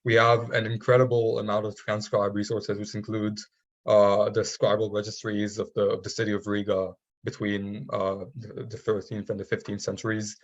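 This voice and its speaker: A man speaking as a panelist historian, halting with many restarts. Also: fairly low pitch, little pitch movement. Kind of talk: academic discussion. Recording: dull lo-fi audio.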